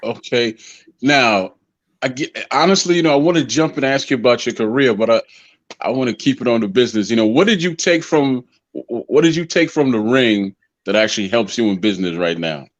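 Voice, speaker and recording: A man's voice: medium pitch, lively intonation, fairly quick. A man hosting a boxing interview show, asking questions in a casual, friendly way and calling his guest brother. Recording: dull lo-fi audio.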